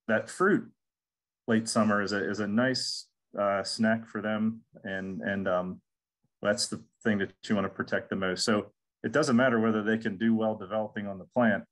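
A middle-aged man talks a webinar audience through his slides with a slightly low voice. He speaks loosely, filling pauses with "um" and repeating words as he restarts phrases. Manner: casual, repeats words and restarts with many ums. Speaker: extension entomologist. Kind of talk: recorded webinar lecture with slides.

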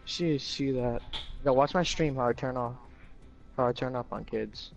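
A man's voice: medium pitch, moderate pace, vocal fry, muffled recording, faint music behind. A teenage boy playing a video game and chatting with a friend, boastful and teasing. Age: teens. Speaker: streamer friend. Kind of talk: casual gaming chat.

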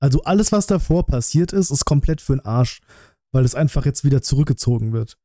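Very bright-voiced man, blunt and slangy. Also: mid-range voice, expressive, a little fast, no echo, clean studio sound. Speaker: reaction streamer. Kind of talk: casual reaction commentary. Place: small indoor streaming room. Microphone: close desk microphone.

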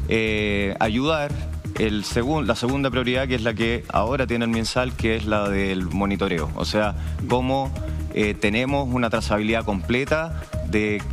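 A Chilean man in his forties, a tech founder guest on a morning TV show, explaining steadily with many fillers into a headset mic. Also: medium pitch. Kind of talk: morning TV interview. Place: TV studio set.

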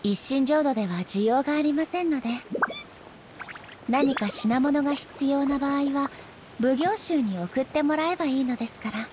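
A woman's voice: high-pitched, moderate pace, background noise, close to an everyday voice. A woman playing a dignified, composed female shogun in a video game, speaking formally and politely. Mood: calm, curious. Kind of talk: anime-style video game dialogue acting.

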